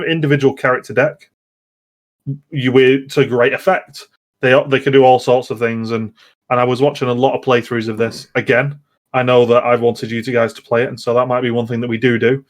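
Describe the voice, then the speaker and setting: A man's voice: medium pitch, flat delivery, brisk. Man chatting casually, rambling and hesitant. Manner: rambling, hesitant, repeats words. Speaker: podcast host. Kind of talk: casual gaming podcast chat.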